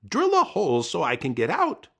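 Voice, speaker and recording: A man voicing a boastful, bossy male coyote who shouts and commands. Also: fairly high pitch, huge pitch swings, moderate pace, creaky, husky, voice pushed up, exaggerated voice.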